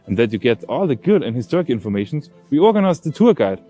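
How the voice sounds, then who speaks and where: A man presents casually to camera with a very animated, swinging intonation at a medium pitch. There is faint music behind his voice. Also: fast, muffled recording. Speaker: video presenter. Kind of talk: casual on-location video presenting.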